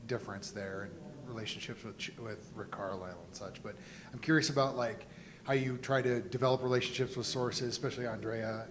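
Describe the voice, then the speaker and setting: A man moderating a panel, asking questions conversationally in roundabout, hesitant phrasing. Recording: rumbly, clearly noisy room recording.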